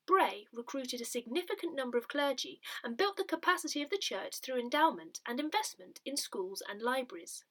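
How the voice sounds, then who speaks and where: Soft, lilting woman reading. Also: fairly high pitch. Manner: measured, gentle reading. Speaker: newsletter reader. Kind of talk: reading a parish newsletter aloud.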